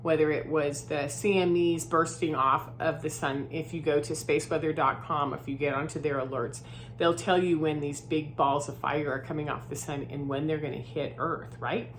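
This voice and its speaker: Woman, head cold, groggy, lowish voice, chatty vlog, lots of fillers. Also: steady tone, moderate pace, a little hiss or hum. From Southern California. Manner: chatty, filler-heavy, often adds "right?". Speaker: vlogger. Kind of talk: casual spoken vlog. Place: her new home, indoors.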